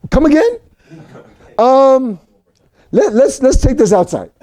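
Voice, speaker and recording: A man from Mexico preaching a sermon for an online service, animated and rising to shouts at his peaks. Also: very high voice, takes it slowly. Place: home recording for a virtual service.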